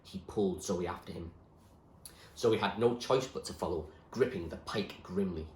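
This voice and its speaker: A man reading a novel aloud as expressive storybook narration, like a teacher reading to a class. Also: deep voice, moderate pace, reverberant.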